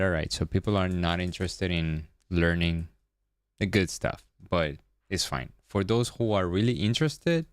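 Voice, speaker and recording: A man from Venezuela, hosting a day-trading livestream and chatting casually with viewers, often repeating words and trailing off low. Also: clean tone, no echo, studio quality.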